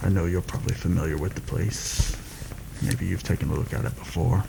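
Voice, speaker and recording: Seasoned assassin played by a man, ASMR roleplay: deep, gravelly, hushed, wry and measured; noticeably noisy recording. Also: steady tone. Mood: calm, instructive. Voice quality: gravelly, creaky. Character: seasoned, wry rebel assassin planning a palace heist. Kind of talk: soft-spoken ASMR roleplay. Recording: noticeable background noise.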